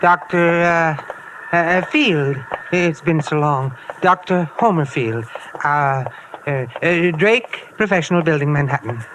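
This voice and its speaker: An actor playing a weary, bitter runaway husband in an old radio drama, talking in a halting, brooding way. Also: male voice, a little high, a bit bright. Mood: subdued. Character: weary, wistful male runaway husband, legally dead. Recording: noisy vintage radio recording.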